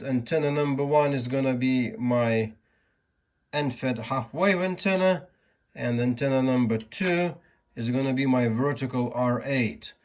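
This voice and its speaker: A man presents a technical hobby demonstration. His voice sits at a medium pitch and he speaks slowly. He explains step by step in a deliberate, methodical way. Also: a little mellow. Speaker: ham radio video presenter.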